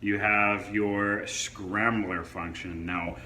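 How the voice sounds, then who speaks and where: A man's voice: fairly low pitch, level tone, slow, pushed and bright. A man reviewing a product in a casual, hands-on demo, explaining features informally. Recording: echoey room with faint background noise.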